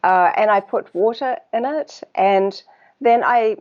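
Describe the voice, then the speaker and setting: A woman with a New Zealand accent talks through her work in a relaxed, searching way, filling pauses as she finds her words. Her voice sits mid-range and comes through slightly muffled, like a lo-fi live stream. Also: medium pitch. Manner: thoughtful, unhurried, searching for words with fillers. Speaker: guest, water researcher. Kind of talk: casual interview talk.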